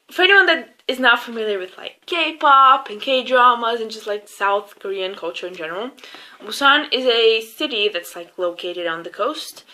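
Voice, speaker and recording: A woman's voice: mid-range voice, bright, forceful tone. A young woman giving a casual, opinionated movie review in an informal, gushing way, swearing freely.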